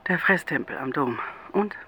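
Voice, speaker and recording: A woman's voice: low-pitched, animated, normal speed, bright, forceful tone, husky, exaggerated voice. An actress playing a bossy, impatient woman who nags and teases her detective in a radio crime drama. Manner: impatient, pushy, teasing. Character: bossy, nagging woman running a detective job.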